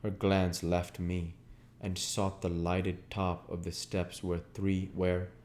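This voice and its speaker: A man's voice: low-pitched, monotone, slow pace, a little mellow, slight background noise. A man narrating literary fiction as an audiobook reader, in a scripted style.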